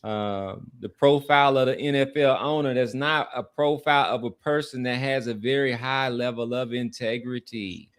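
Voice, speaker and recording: Male podcast co-host, medium pitch, casual musing sports commentary, repeats phrases for emphasis. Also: fairly even intonation, normal speed, few pauses.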